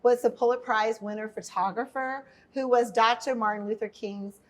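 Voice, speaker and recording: A woman's voice: a little high, fairly even intonation. Emphatic woman in her fifties. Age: 50s. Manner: emphatic, earnest, with quick asides. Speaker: podcast guest, workforce and inclusion leader. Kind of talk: personal storytelling in a podcast conversation.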